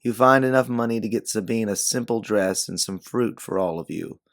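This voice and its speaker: Male story narrator, low register, gravelly edge, scripted second-person prose. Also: moderate pace, fairly bright tone. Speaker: narrator and author.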